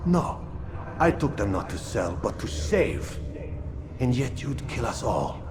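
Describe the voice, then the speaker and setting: Dying game villain, bitter n preachy, slow and swingy pitch, noisy background. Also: male voice, sing-song, music in the background. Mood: bitter, scornful. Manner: slow, theatrical, preaching delivery. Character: dying male slave trader, defiant and bitter. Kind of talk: dramatic video game cutscene acting.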